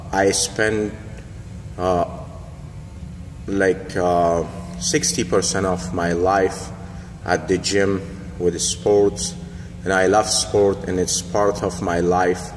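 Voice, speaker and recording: A deep-voiced man from Afghanistan talks slowly into a phone held up in a gym mirror. He hesitates often, fills gaps with "uh", and his English carries phrasing. The big gym room adds a long reverb and a faint hum behind him. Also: fairly even intonation. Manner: hesitant, many fillers, non-native phrasing. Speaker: boxing coach. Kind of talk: casual personal storytelling to camera. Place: large indoor gym. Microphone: phone held in mirror selfie.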